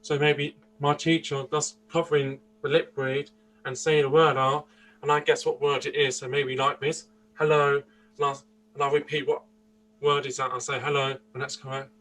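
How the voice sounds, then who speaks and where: A man's voice: medium pitch, level tone, normal speed, a bit bright, poor audio. A young man in his twenties from Austria, answering interview questions earnestly, often restarting and searching for words. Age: 20s. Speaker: interview guest. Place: indoors, seated interview.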